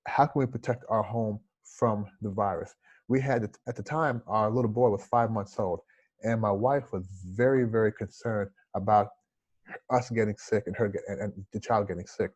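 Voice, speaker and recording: A man's voice: lowish voice, fairly even intonation, normal speed, a little mellow, husky. A man in his forties with a slight lisp, an interview guest telling his story in an earnest, reflective way over a video call from home. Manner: earnest, reflective, gestures with hands.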